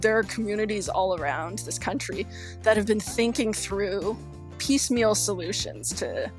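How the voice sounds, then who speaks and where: A woman's voice: mid-range voice, moderate pace. Reflective woman over faint music. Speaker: featured speaker in a preview clip. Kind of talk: conversational comment.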